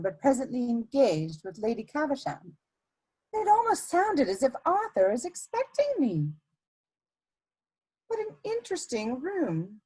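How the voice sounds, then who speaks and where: A woman playing a sly, prying society schemer in a theatrical period comedy reading, musing deliberately to herself. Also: a little high, a little mellow, husky, full character voice, low-quality recording.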